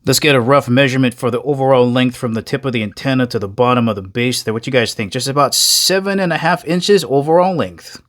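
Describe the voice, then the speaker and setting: A man's voice: mid-range voice, quick pace, few pauses, fairly bright tone. A man giving a casual, conversational product review, addressing viewers directly as he explains.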